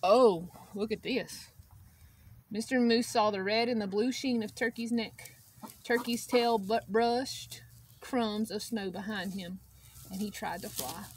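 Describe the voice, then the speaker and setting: A middle-aged woman reading a picture book aloud to children, expressively, pausing to ask her young listeners simple questions. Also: mid-range voice, steady tone, slightly rough. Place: outdoors beside a wire poultry pen. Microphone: camera a step or two away. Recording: outdoor noise with clucking poultry. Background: chickens and outdoor ambience.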